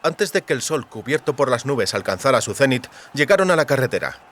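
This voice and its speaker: A man's voice: medium pitch, sing-song, brisk, fairly bright tone. A man reading a scripted fantasy novel as an audiobook narrator.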